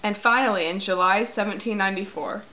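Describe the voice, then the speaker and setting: A woman's voice: medium pitch, fairly even intonation, fast talker, pushed and bright. A teenage female student reading a scripted history narration. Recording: dull, faintly noisy amateur recording.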